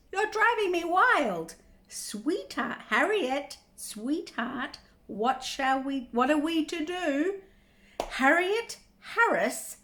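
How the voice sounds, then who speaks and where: A woman reading aloud as an exasperated storybook mother, keeping her patience with a pesky child. Her voice is fairly high and very expressive, and she stretches out each endearment slowly.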